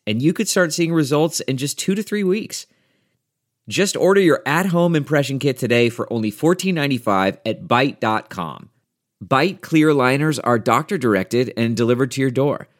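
A man reading a scripted advertisement in an upbeat, smooth sales tone. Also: mid-range voice. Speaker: ad announcer. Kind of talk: scripted ad read.

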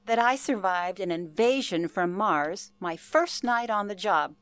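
A woman's voice: medium pitch, animated, unhurried, a bit bright, clear, resonant tone, no room sound. An actress playing an older former telephone operator, telling her past in an earnest, genteel way for a radio play. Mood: wry reminiscing. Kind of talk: radio play, interview-style reminiscence.

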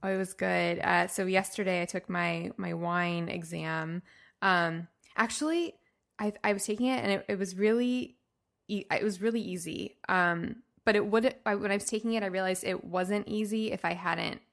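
A woman's voice: medium pitch, moderate pace, creaky. A woman hosting a podcast in casual chat, bubbly, laughing often and filling gaps with ums. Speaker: podcast host.

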